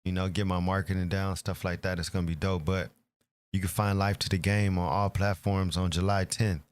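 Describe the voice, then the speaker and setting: A man's voice: low voice, flat delivery, fairly quick. A man, a guest rapper, talking casually about his upcoming music in easygoing, hesitant phrases. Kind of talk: casual chat.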